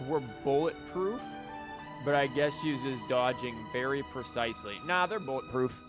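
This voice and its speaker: A man giving casual live gameplay commentary as a streamer, in a wry, joking manner. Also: mid-range voice. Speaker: game streamer. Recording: game music behind the voice. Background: video game music.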